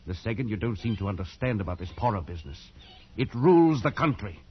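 A stern old-time colonial hand in a radio play, with a lowish voice. He is authoritative and admonishing, a grim man of experience. The vintage recording is dull, with faint noise behind him. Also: sing-song, fast. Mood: grave warning. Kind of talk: post-war radio drama acting.

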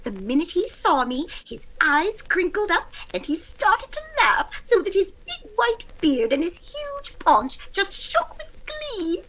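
A woman playing a kindly, forgetful elderly landlady who rambles absent-mindedly in an old-time radio comedy, with a breathy, fluttery voice. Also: very high voice, very animated, unhurried, few pauses, fairly bright tone, slight background noise, heavily acted, low-quality recording. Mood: fond reminiscing.

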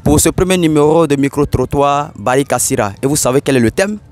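A man's voice: on the high side, fairly quick, a little mellow. A young man with a Togolese French accent presenting street interviews to camera on a handheld mic outdoors. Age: young adult. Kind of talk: vox pop presenting to camera. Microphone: small handheld mic held at mouth.

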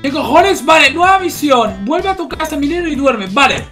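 A man, playing along in rowdy, crude gameplay banter, often shouting. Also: lively intonation, moderate pace, faint music behind. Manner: shrill, shouty, jokey, crude. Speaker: gaming co-player.

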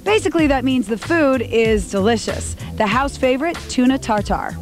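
A woman's voice: high voice, lively intonation, moderate pace. A woman narrating a scripted food segment as a television reporter. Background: background music.

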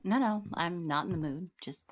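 A woman's voice: fairly low pitch, normal speed, very noisy, lightly acted. A woman playing a dry, curt police lieutenant in a radio drama.

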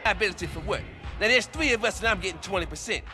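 An actor playing a paranoid, hot-tempered young gangster in video game cutscenes, whiny and shouting. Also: male voice, high-pitched, expressive, quick pace, faint music behind, full character voice. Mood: indignant.

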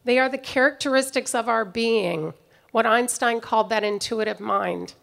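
A middle-aged woman giving a prepared commencement speech at an outdoor podium microphone, in a measured, reflective way. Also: on the high side, gravelly, a bit bright. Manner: measured, reflective delivery reading from notes. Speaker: commencement speaker. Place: outdoor ceremony stage.

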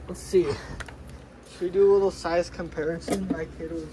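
A man's voice: high-pitched, little pitch movement, normal speed. A young man chatting casually and unscripted as he co-hosts a home gadget review. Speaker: co-host of gadget review vlog. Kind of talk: casual unscripted chat while unboxing. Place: small carpeted room in a house. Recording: noisy handheld home recording.

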